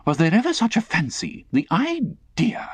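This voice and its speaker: A man's voice: mid-range voice, very animated, moderate pace, darkish tone, dry recording, voice pushed up. A man voicing an indignant, self-pitying middle-aged lady in a storybook, plaintive, fussy and self-righteous.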